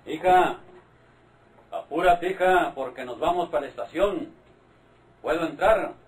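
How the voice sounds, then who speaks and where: A man's voice: fairly high pitch, unhurried, a little hiss or hum, natural voice, poor audio. An actor playing a bossy middle-aged Mexican father, scolding and insistent, in an old radio drama. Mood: impatient.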